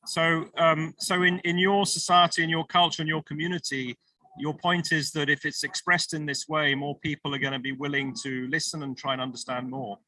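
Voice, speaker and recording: A man chairing a panel, polite and a little rambling, restarting his questions as he goes. His voice is very bright and fairly high, recorded close and dry. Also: level tone, fairly quick, no room sound, low-quality recording. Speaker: panel moderator. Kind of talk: moderating a panel discussion.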